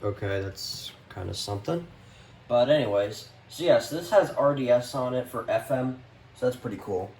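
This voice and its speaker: A man's voice: mid-range voice, expressive, normal speed, a little mellow. A man giving a casual, unscripted show-and-tell as a vlogger, talking in a slangy, easily exasperated way. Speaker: vlogger showing a radio collection. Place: indoors, room at home. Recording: distant mic, faint background noise.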